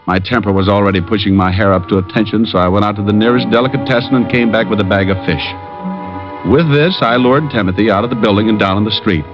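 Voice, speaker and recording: An actor playing a wisecracking private detective who also narrates, in 1940s radio acting style. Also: male voice, lowish voice, lightly acted. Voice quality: dry wisecracking delivery. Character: wisecracking tough private eye, adult man. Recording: dull lo-fi old radio recording with music.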